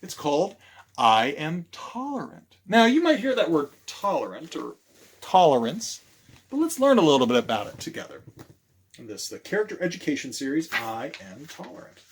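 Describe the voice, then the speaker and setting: A man reading aloud as a children's storytime librarian, theatrical, with dramatic pauses and hushed moments, putting questions to young listeners. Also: a little high, very animated, breathy edge.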